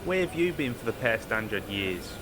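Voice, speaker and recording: A man playing an earnest, polite activist with a gravelly voice in a sci-fi audio drama. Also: medium pitch, sing-song, moderate pace, a bit bright, a little hiss or hum. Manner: polite, explanatory. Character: earnest, soft-sell male android-rights activist.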